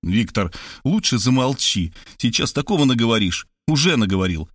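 A man's voice: mid-range voice, animated, normal speed, no echo, studio quality, natural voice. A man voicing a flustered, easily indignant man from a novel, anxious and self-justifying. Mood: defensive.

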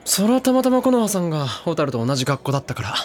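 A man's voice: a little high, sing-song, fast. A man playing a confident, pushy younger teenage boy with casual slangy speech in a Japanese anime audio drama. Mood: breezy, curious.

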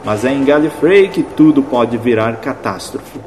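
A man's voice: medium pitch, animated, measured pace, close to an everyday voice. A Brazilian man playing a whimsical, theatrical storyteller in an audio drama, addressing the listener directly and dramatically. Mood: wistful. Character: whimsical, theatrical male storyteller of a sci-fi Christmas tale. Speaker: narrator. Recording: long reverb, music bed behind.

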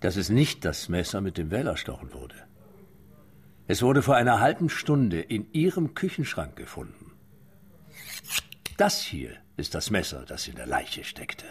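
An actor playing a hard-nosed police interrogator who questions pointedly. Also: male voice, low-pitched, wildly swooping pitch, measured pace, slightly rough, full character voice. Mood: probing.

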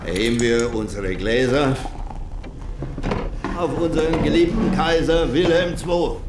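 An actor playing a proud, patriotic German ship's captain in a radio play, speaking formally and declaiming. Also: male voice, on the high side, a little slow, heavy background noise, lightly acted. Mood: hearty toasting.

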